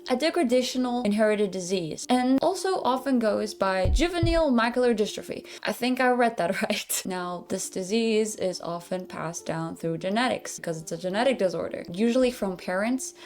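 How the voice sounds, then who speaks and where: A woman's voice: mid-range voice, expressive, moderate pace, few pauses, a little mellow. A woman giving an informal, chatty explainer to viewers as a vtuber.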